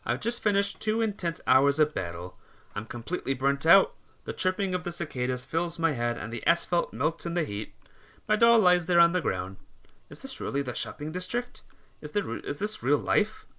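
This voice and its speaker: A man live-streaming a visual novel, reading the text aloud and breaking off for casual, halting asides to his viewers. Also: on the high side, big pitch swings, sharp tone. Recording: music behind the voice.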